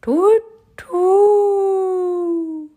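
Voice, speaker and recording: A woman voicing a friendly owl in a children's bedtime story, with a hooting, drawn-out, reassuring manner. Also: mid-range voice, sing-song, mellow, voice pushed up, full character voice.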